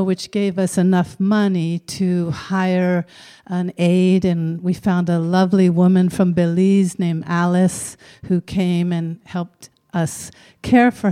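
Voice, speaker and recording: Unhurried, warm-voiced woman telling her story. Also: on the low side, clean tone. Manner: frank, unhurried, conversational with occasional fillers. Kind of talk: personal storytelling to a live audience.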